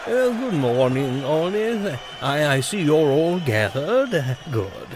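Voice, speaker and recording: A dithering, high-voiced comedy king. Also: big pitch swings, slow, heavy background noise. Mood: vague, kindly. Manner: hesitant, stammering, mildly pompous. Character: vague, dithering, well-meaning medieval comedy king. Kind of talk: audio comedy drama acting.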